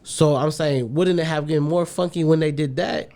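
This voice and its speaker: Animated man with a slightly high voice. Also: normal speed, vocal fry. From Vancouver, grew up in Portland. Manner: animated, repeats himself, street slang. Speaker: podcast guest. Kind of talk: casual chat.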